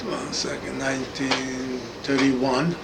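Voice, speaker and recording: A man's voice: medium pitch, completely flat delivery. Elderly Hungarian-accented man, noisy recording. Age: 80s. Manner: brief, halting answers. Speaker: interviewee, Holocaust survivor. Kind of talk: recorded testimony interview. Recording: clearly noisy room recording.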